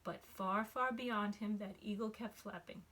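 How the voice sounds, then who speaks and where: A woman's voice: mid-range voice, fairly even intonation, a little slow, pushed and bright, rough recording. A woman narrating a rhyming children's storybook expressively. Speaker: storybook reader, school staff member.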